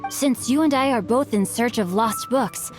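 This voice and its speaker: Bookish young boy voiced by a woman, slightly high voice, courteous, eloquent, formal game character, music clearly behind. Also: normal speed, close to an everyday voice. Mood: courteous, eager. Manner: polite, formal, flowery. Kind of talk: video game voice acting.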